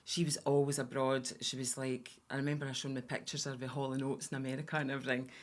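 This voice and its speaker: A Scottish woman from Fife, around sixty, chatting warmly about her past as a guest on a podcast, with a lot of "aye" and "uh-huh.". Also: a bass voice, flat delivery, fast. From Scotland. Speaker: guest, veteran hairdresser. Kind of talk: casual interview chat.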